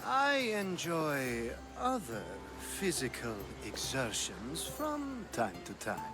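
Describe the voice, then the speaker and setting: An actor playing a witty, flirtatious, pleasure-loving Athenian aristocrat in a game, with a languid, suggestive, theatrical delivery. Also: male voice, on the high side, huge pitch swings, takes it slowly, over loud music, full character voice. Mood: teasing, suggestive.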